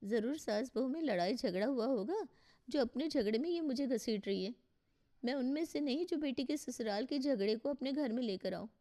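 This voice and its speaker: Woman putting on a high-pitched, complaining mother. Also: expressive, moderate pace, mellow. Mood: stubborn, puzzled. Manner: querulous, dramatic. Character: stubborn, complaining middle-aged mother of married daughter.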